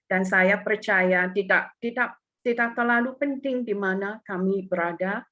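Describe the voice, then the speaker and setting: A woman's voice: medium pitch, fairly bright tone. Hong Kong woman giving a church testimony. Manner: earnest, with hesitations and non-native phrasing. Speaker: testimony speaker.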